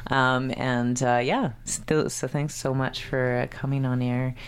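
A woman's voice: very deep voice, flat delivery, measured pace, smooth. A woman hosting a casual community radio interview, speaking hesitantly with many fillers and frequent laughs. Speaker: radio host.

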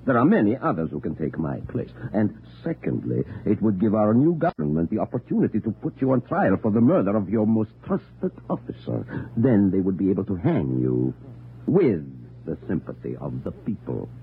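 A cold scheming usurper. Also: male voice, on the low side, sing-song, normal speed. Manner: cold, precise, menacing. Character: scheming male usurper Krennic, cold and calculating. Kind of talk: 1940s radio acting. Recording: tinny old radio broadcast with hiss.

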